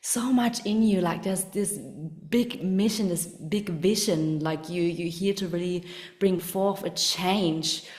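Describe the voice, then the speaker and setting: Earnest spiritual woman guest, lowish voice, echoey room sound. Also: steady tone, slow pace, a little mellow. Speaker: podcast guest. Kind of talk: casual interview chat.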